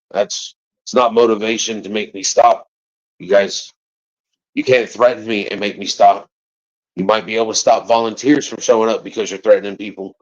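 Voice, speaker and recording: A man speaks in a very low, deep register, and his answers stop and restart as he searches for words. When he pushes back he turns blunt and defiant. The audio is dry and slightly muffled, with a lo-fi edge. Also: no echo. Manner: halting and rambling, then rising to defiant, forceful outbursts. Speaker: father of a missing child, interviewee. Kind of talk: interview answers.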